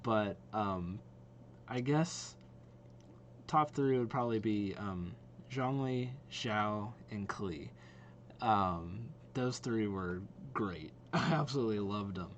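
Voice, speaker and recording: A man's voice: fairly low pitch, slow pace, slight background noise. A young man giving casual, rambling reaction commentary as the host of a video.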